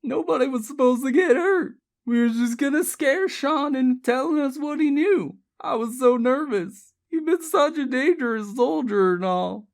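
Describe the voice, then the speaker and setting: A woman's voice: fairly high pitch, sing-song, measured pace, few pauses, voice pushed up. A woman voicing an anguished, guilt-ridden elderly grandmother in an audiobook, pleading emotionally yet firm. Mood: guilty confession.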